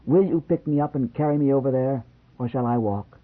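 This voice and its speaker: A man's voice: mid-range voice, a little fast, pitched above the performer's own voice, lightly acted. A man voicing an affectionate, playful wife who talks in a coaxing, teasing way. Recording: tinny phone-like lo-fi sound.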